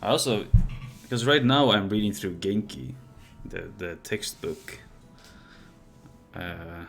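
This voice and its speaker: Young man, deep voice, casual musing, restarts often, faint background music. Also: lively intonation, slow pace, fairly bright tone. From Sweden. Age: young adult. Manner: rambling, thinking aloud with many restarts. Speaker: host. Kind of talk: casual chat.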